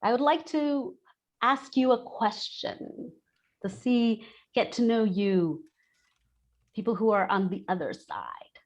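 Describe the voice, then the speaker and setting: A woman's voice: fairly high pitch, expressive, slow. A woman in her forties from Brazil, an expat coach giving a candid, chatty webinar talk over a video call and often restating her points. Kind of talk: webinar presentation.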